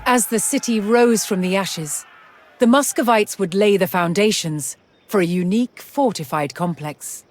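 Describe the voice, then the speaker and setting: Woman narrating history with measured drama. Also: mid-range voice, a little hiss or hum. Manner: measured, dramatic storytelling delivery with deliberate pauses. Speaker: documentary-style game narrator. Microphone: studio voice-over microphone. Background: music.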